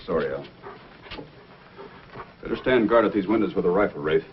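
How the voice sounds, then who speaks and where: Low-voiced, commanding Mountie sergeant. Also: male voice, expressive, muffled recording, a little hiss or hum. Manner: deliberate, firm, commanding. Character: calm, authoritative Mountie sergeant, heroic and commanding. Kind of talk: old-time radio adventure acting. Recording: old band-limited radio recording, music.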